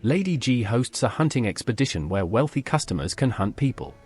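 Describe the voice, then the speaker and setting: A man's voice: mid-range voice, big pitch swings, fairly quick, a little mellow, breathy edge. A man's computer-generated voice speaking plain, matter-of-fact game dialogue. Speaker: player character.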